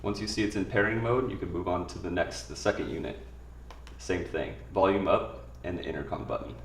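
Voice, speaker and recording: A man's voice: low voice, fairly quick. A man giving an informal, step-by-step spoken product tutorial in a plain way. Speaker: product demonstrator. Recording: echoey room, distant mic, faint noise.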